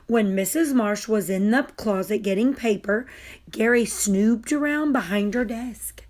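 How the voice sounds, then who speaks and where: A woman reading a children's story aloud in a deliberate, expressive way. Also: fairly high pitch. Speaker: storybook reader.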